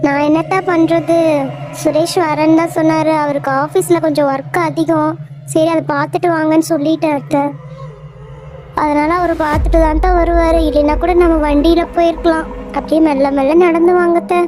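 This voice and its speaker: A woman's voice: sits high, flat delivery, brisk, pitched above the performer's own voice, lightly acted. A woman voicing a fretful young Tamil housewife in a cartoon, with a pleading, repetitive manner and a Tamil accent. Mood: coaxing. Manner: pleading, fretful, keeps repeating "athai". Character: young Tamil housewife Thamayanthi, anxious and fussy; also a ghost disguised as her. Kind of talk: cartoon voice acting. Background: background music.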